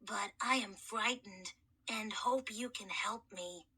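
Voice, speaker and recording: An ancient boy mummy, put on by a woman reading a storybook aloud, speaks in a hoarse, hushed, lowered voice. He is timid and very formal, courtly in every line, with a slow, careful delivery. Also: heavily acted. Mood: timid, polite. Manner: formal, courtly, soft-spoken. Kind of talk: audiobook reading.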